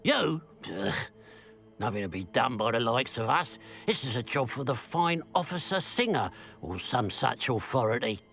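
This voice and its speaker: An actor playing a bitter, suspicious village shopkeeper in a video game, grumbling with dramatic sarcasm. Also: male voice, mid-range voice, sing-song, moderate pace, gravelly, a bit bright, faint music behind. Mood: bitter, scornful. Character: bitter, suspicious village shopkeeper man, resentful of his sister.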